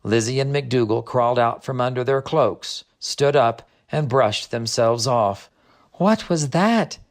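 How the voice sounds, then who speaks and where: A man reads a storybook aloud as narrator. His voice sits at a middle pitch, and his delivery is measured and expressive. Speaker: narrator, author reading own book.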